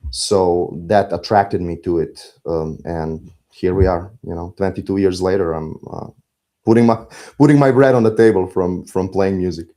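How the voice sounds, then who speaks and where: A middle-aged man with a Serbian accent, talking reflectively as an interview guest, halting and philosophical as he searches for words. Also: low voice, dark tone. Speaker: podcast guest, musician.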